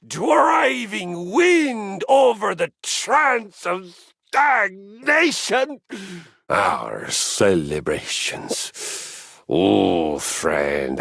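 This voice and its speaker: A pompous, violence-loving exercise machine from a video game. The voice is gravelly and husky, the delivery slow and grand, swooping from hushed lows to booming highs. It sounds dry and close, recorded in a studio. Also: male voice, no echo, full character voice. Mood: epic storytelling. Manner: theatrical, pompous, swings from low murmurs to booming shouts. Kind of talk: video game character acting.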